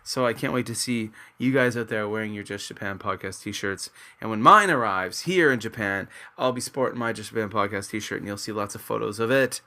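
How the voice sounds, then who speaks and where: A man's voice: lowish voice, fairly quick, hardly any pauses, pushed and bright. A man from Nova Scotia hosting a casual podcast, upbeat and chatty with many fillers, in a small home studio. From Nova Scotia, Canada. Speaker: podcast host. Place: small home studio room with a floor fan.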